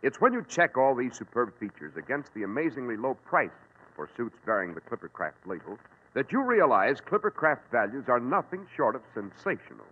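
A man's voice: mid-range voice, big pitch swings, a little fast. A man announcing in an emphatic, salesmanlike 1940s radio advertisement style. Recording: tinny, rough old radio recording.

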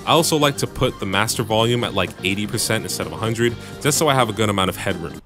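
A man with a bassy voice casually narrating a home recording tutorial, with joking asides, on a studio mic in his home room. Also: animated, quick pace, music in the background. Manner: laid-back, joking asides. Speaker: music producer and YouTube tutorial host. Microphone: studio microphone through audio interface.

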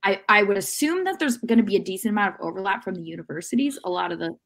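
A young woman on a video call, giving measured, halting sports analysis as a panelist in a casual discussion. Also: mid-range voice, animated, fairly bright tone. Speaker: soccer podcast panelist. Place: indoor room at home, video call. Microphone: video call microphone.

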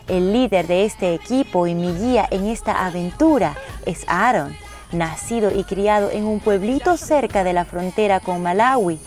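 A woman's voice: medium pitch, a little mellow. A woman reading a scripted travel narration in the role of narrator and traveller.

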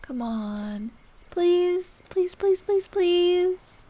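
A woman playing a tender, playful late girlfriend in an ASMR roleplay, speaking in a gentle, coaxing, teasing way. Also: extremely high pitch, expressive, very slow, heavily acted. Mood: eager, pleading. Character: tender, playful dead girlfriend comforting her grieving partner. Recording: clear ambient noise behind. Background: ambient sound effects.

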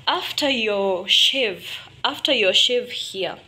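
A woman's voice: medium pitch, animated, slow pace, pushed and bright, husky, poor audio. Chatty Kenyan woman giving a salon tour. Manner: chatty, mixes Swahili phrases into English. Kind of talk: walking tour vlog. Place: salon and barbershop interior.

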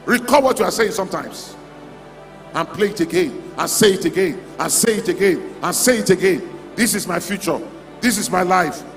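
A man's voice: high voice, expressive, normal speed. A man preaching a charismatic sermon, exhorting his congregation in short, repeated declarations. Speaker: preacher leading a convention. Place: large hall at a church convention. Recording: echoey hall PA, music underneath. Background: music.

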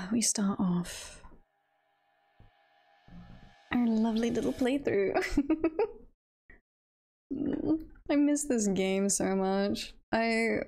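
A woman's voice: medium pitch, creaky, a little mellow. A giggly woman streamer chatting casually while she plays a game. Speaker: VTuber streamer.

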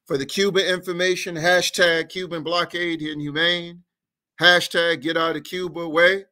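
A middle-aged man holds forth over a video call, his voice coming through a headset mic from a room at home. He sits high in his range with a bright, forceful edge. He stacks phrase on phrase in rhythmic, repetitive oratory, like a speaker working a crowd. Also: level tone, normal speed. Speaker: guest activist. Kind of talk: impassioned political speech in an interview.

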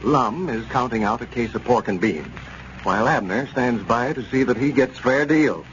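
An American man announcing in vintage radio style, reading sponsor pitches and story recaps in a polished, emphatic way. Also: expressive, moderate pace, fairly bright tone. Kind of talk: 1930s-40s radio announcing, scripted sponsor pitch and narration. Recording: muffled, noisy old radio recording.

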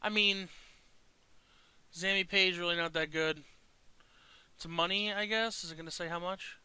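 A man's voice: sits high, normal speed, clear, resonant tone, dry recording. Congested man chatting over gameplay. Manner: chatty, excitable, swears casually. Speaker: gaming video host. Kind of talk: casual gameplay commentary.

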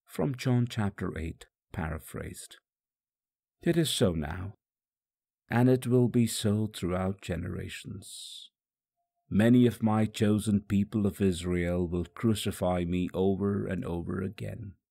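A man delivers dramatic religious narration in the first person. His voice is gravelly and unhurried, with a solemn, emphatic delivery. The recording is dry and close. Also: sits low, darkish tone, no room sound. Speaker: audiobook narrator.

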